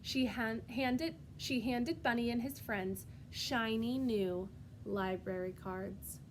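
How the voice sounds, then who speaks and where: A woman with a fairly high voice reads a picture book to small children. Her pace is very unhurried and her delivery is expressive and sing-song, as a preschool teacher talks. There is some light noise behind her. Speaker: preschool teacher reading aloud. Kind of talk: animated storybook read-aloud with questions to young children.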